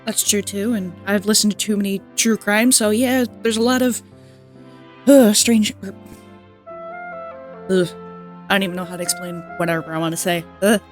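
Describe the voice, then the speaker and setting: A woman's voice: mid-range voice, normal speed, long pauses, soft background music. A woman giving casual gameplay commentary, rambling and trailing off as she searches for words. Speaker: streamer playing a game.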